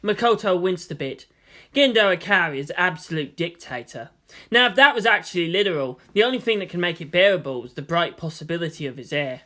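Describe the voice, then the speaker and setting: Animated story narrator with widely varied pitch. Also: a little fast.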